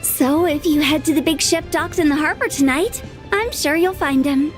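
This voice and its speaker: A woman's voice: high-pitched, normal speed, lightly acted. A woman voicing a mischievous, teasing young woman in a video game cutscene. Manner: teasing, impish. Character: playful young woman funeral director, mischievous. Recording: game audio with music behind.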